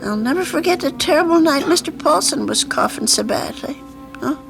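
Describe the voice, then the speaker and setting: A woman's voice: sits high, lively intonation, moderate pace, creaky. An actress playing a kindly, fretful old landlady in an old radio drama, fussing and muttering worriedly. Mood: anxious musing. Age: older. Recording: vintage radio drama with loud music.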